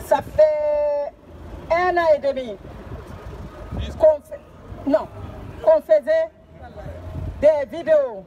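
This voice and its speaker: A woman with a very high, raised voice delivers an impassioned speech at an outdoor demonstration. She is emphatic and insistent, repeating her phrases. Loud street noise and a crowd surround her. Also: lo-fi sound. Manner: emphatic, repetitive, urging. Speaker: activist speaker. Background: crowd and vehicle noise.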